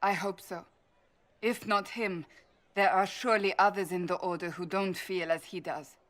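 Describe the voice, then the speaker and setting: A woman's voice: medium pitch, fairly even intonation, normal speed, fairly bright tone, lightly acted. An actress playing a resolute, earnest woman warrior in a fantasy game, speaking formally and seriously. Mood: concerned. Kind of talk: fantasy game cutscene dialogue.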